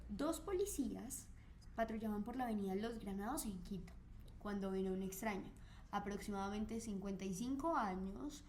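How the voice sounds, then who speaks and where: A woman's voice: medium pitch, normal speed, a little mellow, slight background noise. Woman narrating a true crime story. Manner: storytelling with frequent fillers. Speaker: co-host. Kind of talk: true crime podcast chat.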